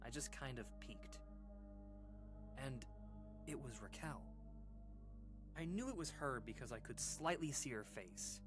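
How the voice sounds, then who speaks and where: Male actor as uneasy guy recalling a creepy childhood story for a radio horror drama; halting, casual delivery, very lively pitch, music underneath. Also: close to an everyday voice. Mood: hesitant, uneasy. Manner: hesitant, halting, informal, with pauses and sighs. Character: uneasy man recounting an eerie childhood memory to listeners. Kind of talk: audio drama monologue, conversational horror storytelling.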